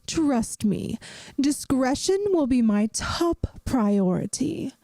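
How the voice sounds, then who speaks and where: A woman voicing a kindly, wry vampire math teacher in an ASMR audio roleplay, speaking gently and soothingly straight to the listener. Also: high voice, expressive, slow pace, no room sound, exaggerated voice. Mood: reassuring.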